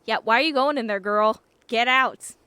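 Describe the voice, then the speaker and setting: A woman's voice: on the high side, moderate pace, sharp tone, clean tone, dry recording. A woman doing casual live let's play commentary as a game streamer, playful and reactive, swearing freely. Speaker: game streamer reading dialogue aloud.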